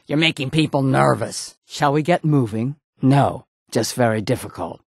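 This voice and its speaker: A woman voicing a gruff, proud female orc warrior in a video game, blunt and menacing, with a gravelly, husky voice. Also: rumbling low, normal speed, a little mellow, dry recording, clean studio sound, exaggerated voice. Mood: blunt, curt. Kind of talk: video game character voice lines.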